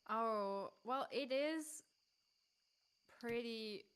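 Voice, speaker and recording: A woman with a German accent whose first language is German, chatting on a live stream. She talks in an animated, joking way, with frequent laughs and casual swearing. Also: fairly high pitch, drawn-out words, smooth.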